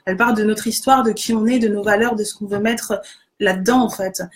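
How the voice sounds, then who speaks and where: A woman's voice: medium pitch, fairly even intonation, a little fast. A woman hosting a casual conversation, agreeing enthusiastically and explaining with many fillers. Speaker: host.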